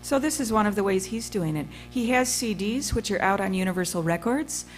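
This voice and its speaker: A woman's voice: mid-range voice, animated, fairly quick, soft background music. A woman moderating a panel, casually introducing the next speaker and searching for words with fillers. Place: museum lecture room.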